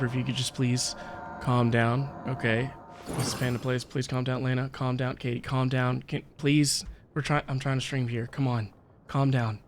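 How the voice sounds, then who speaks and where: A man's voice: mid-range voice, a little hiss or hum. A man chatting casually as a live game streamer, with a playful, theatrical and mock-dramatic manner.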